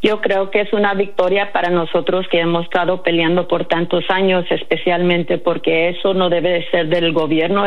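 Earnest woman on a dull phone line. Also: on the low side. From United States. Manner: earnest, mixes English words into Spanish. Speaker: civil rights organizer, guest. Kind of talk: phone interview.

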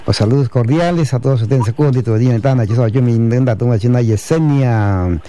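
A man's voice: medium pitch, a little fast, slight background noise. A man hosting a bilingual community radio show, sending long lists of cordial greetings to listeners and switching into Mixtec. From Mixteca region of Oaxaca, Mexico. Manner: warm repetitive greetings, speaks in Mixtec too. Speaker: radio host. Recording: radio broadcast.

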